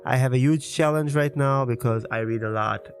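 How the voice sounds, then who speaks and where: Man, native Hebrew speaker, 30s, relaxed conversational monologue, mid pitch, clear background music. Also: moderate pace. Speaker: podcast host. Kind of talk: casual personal monologue.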